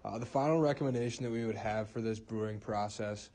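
Young male student, fairly low flat voice, halting, roomy distant recording. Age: young adult. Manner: flat, halting delivery with fillers. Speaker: student presenter. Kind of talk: class project narration. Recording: roomy, distant mic, faint noise.

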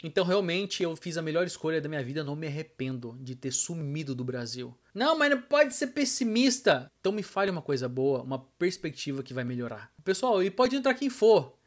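A Brazilian man with a fairly high voice, middle-aged, vlogs from a room at home. He talks colloquially and with emphasis, straight to the people watching. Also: very animated, a little fast, few pauses. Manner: emphatic, colloquial, addresses viewers directly. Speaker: vlogger. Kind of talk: casual opinion vlog to camera. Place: small home room with arcade machine, indoors.

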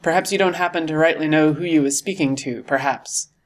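Man putting on a storybook seafaring landlord, hearty, cunning, forceful, salty nautical phrasing. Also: on the high side, fairly quick, lightly acted. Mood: scornful. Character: cheerful, cunning, tall one-legged sailor and tavern landlord.

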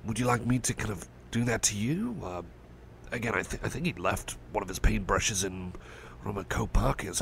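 A man speaking a gentle ASMR roleplay as a caring cyborg friend, rambling and stammering, reassuring and soft. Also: fairly low pitch, vocal fry, a little hiss or hum.

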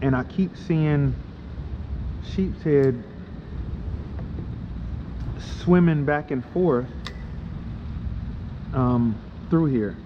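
Slow-talking man fishing from a kayak. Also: mid-range voice. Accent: Florida. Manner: slow, explanatory, excited when fish bite. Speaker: kayak fishing video host. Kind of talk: casual on-the-water commentary. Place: kayak on water under a pier, outdoors. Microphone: action camera mounted on kayak. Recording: muffled action-cam audio with water noise.

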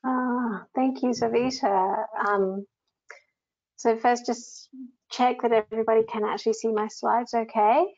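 A woman's voice: a little high, steady tone, a little slow, a little mellow. A woman with a New Zealand accent, a grants manager giving a conversational webinar talk over a video call. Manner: conversational, with frequent ums. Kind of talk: presenting slides in a webinar. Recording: slightly dull video call audio.

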